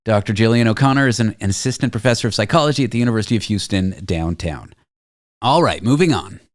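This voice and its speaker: Fast-talking male radio host. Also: lowish voice, animated, dry recording, clean studio sound. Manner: quick, conversational questions. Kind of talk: interviewing a guest and introducing segments.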